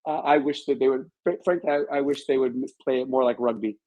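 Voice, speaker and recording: A man's voice: mid-range voice, fairly even intonation, fast, mellow. A middle-aged American man speaking as a guest in a casual interview, in an emphatic, opinionated way.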